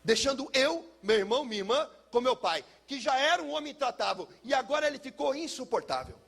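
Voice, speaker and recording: A Brazilian man speaking as a pastor, telling a personal testimony in an emphatic, theatrical way with deliberate repetition. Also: very high-pitched, expressive, creaky, fairly bright tone, breathy edge. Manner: emphatic, theatrical, uses pauses and repetition for effect. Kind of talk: personal testimony, dramatic storytelling to listeners.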